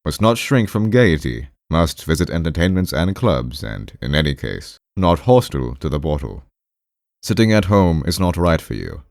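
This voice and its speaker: A man's voice: rumbling low, sing-song, moderate pace, gravelly, a little mellow, dry recording, studio quality, full character voice. A man voicing a pompous, brusque doctor in an audiobook, ponderous and emphatic, with hems and haws. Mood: insistent. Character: pompous, brusque male doctor lecturing his patient. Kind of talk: audiobook character voice.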